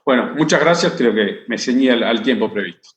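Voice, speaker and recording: A man's voice: medium pitch, animated, brisk. A man giving a conference panel presentation, hesitant and full of fillers and restarts. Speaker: panel speaker. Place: conference hall. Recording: echoey hall.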